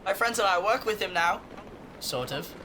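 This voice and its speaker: Scrappy British street lad, youthful higher male voice, blunt and guarded, noisy background. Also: lively intonation, moderate pace. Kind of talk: audio drama acting. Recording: noticeable background noise.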